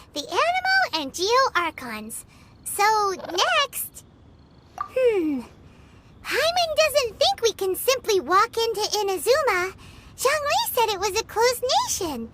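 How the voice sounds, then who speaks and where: A woman voicing a small, cheerful, childlike fairy companion in a video game, squeaky and chatty, on a noisy stream capture. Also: heavily acted. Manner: childlike, speaks of herself in third person. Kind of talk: video game character dialogue.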